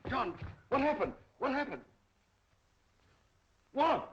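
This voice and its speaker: Harried businessman, animated delivery. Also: very high-pitched, slow pace, over music, exaggerated voice. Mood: alarmed. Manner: earnest, nervous. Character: anxious, harried male businessman in fear. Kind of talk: 1930s film acting. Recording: dull 1930s film soundtrack.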